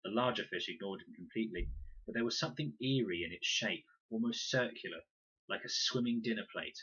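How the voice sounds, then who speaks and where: Man, lowish husky voice, bright and lively, expressive children's story narration, slightly dull recording. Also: brisk. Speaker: audiobook reader and teacher reading aloud. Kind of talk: animated scripted narration of a children's adventure story.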